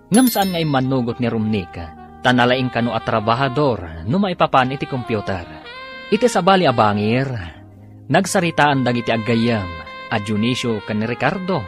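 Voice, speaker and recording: A male radio drama announcer with a medium-pitched, highly varied delivery. He reads dramatic serial narration over faint music.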